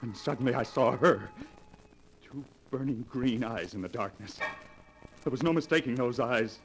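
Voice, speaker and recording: An actor playing a haunted, desperate fiance in a 1940s radio melodrama, with theatrical delivery. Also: male voice, medium pitch, normal speed, music in the background, close to an everyday voice. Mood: haunted, brooding. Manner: theatrical, brooding narration rising to frantic outbursts. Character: haunted, desperate fiance confessing to his doctor. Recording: noisy old 1940s radio recording.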